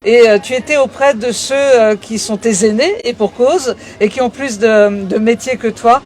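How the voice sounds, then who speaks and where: A woman's voice: a little high, normal speed, darkish tone. A woman conducting an interview on location as the interviewer. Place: aboard a sailing boat in port. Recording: noticeable background noise on location.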